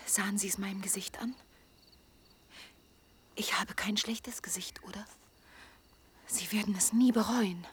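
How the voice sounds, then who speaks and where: A woman's voice: medium pitch, fairly even intonation, measured pace, pauses often, a little hiss or hum, natural voice. An actress playing a lonely young woman of twenty in an intimate German radio drama, hurt and pleading yet proud, often dropping toward a whisper. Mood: shy, confiding. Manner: urgent, imploring, emotionally open.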